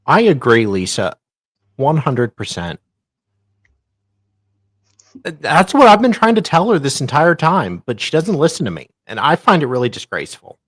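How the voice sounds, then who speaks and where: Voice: mid-range voice, sing-song, moderate pace, long pauses, a bit bright, dry recording, clean studio sound. A performer voicing a teasing, mock-indignant sidekick who slips into baby talk in livestream banter. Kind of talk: comic dramatic banter on a livestream.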